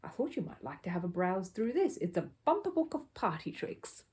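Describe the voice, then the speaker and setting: A woman puts on a kindly older gentleman who keeps a shop in a children's storybook. He speaks politely and genially, with a soft, mellow tone. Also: medium pitch, sing-song, brisk, natural voice. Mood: genial, secretive.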